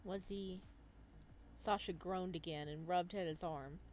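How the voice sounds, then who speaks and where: A woman hosting a video game playthrough, reading the story aloud as expressive narration and reacting as she goes. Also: fairly low pitch, normal speed, slight background noise. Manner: expressive, slips into character voices. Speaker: gaming video host reading a visual novel aloud.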